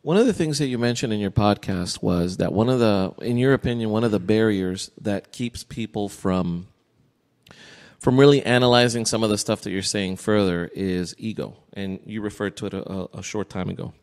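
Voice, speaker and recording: A man's voice: lowish voice, normal speed, darkish tone. A man talking conversationally as a podcast interviewer, debating and putting questions to his guest.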